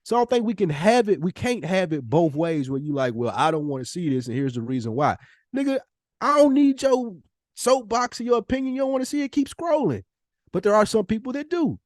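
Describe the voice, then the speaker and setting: Higher-voiced man debating on a podcast. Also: very animated, a little fast, darkish tone, no echo, clean studio sound. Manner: emphatic, argumentative, slangy, swearing. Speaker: podcast co-host. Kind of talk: casual debate, opinionated podcast talk.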